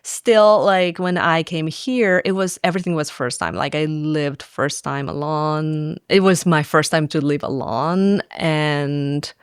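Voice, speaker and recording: A woman talks through her story in an easygoing interview, often laughing and leaning on "like". Her voice is smooth and resonant, recorded dry and close. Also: low-pitched, no echo. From Japan. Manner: chatty, laughs often, fills with "like". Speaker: faculty guest. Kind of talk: casual interview chat.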